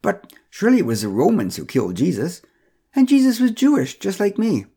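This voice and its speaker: A man voicing a polite, puzzled neighbor in a spoken story, speaking hesitantly with a husky voice. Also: high voice, wildly swooping pitch, brisk, mellow, pitched above the performer's own voice, full character voice.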